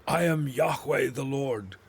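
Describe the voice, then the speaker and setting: An actor playing an earnest, devout Hebrew prophet and leader in a radio Bible drama, with a solemn, declamatory manner. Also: male voice, medium pitch, takes it slowly, slightly rough. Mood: fervent, solemn.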